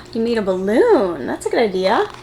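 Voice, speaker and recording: A woman with a fairly high voice reads a children's story aloud. Her delivery is very animated and expressive, with simple, encouraging phrasing aimed at preschoolers. There is faint noise behind her voice. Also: moderate pace. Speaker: preschool teacher reading picture books aloud. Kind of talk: children's story read-aloud with questions to young viewers.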